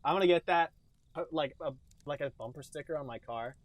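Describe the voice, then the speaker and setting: A man with a fairly high voice hosts a podcast in casual chat. He talks in a jokey, rambling way. The sound is dry and free of room echo. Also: a little fast. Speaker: podcast host.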